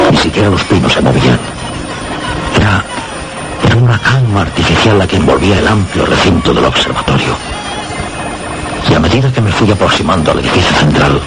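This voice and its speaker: An actor with a Castilian Spanish accent playing a shaken man who recounts a supernatural horror in halting, dramatic radio narration. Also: deep voice. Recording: very noisy radio drama soundtrack.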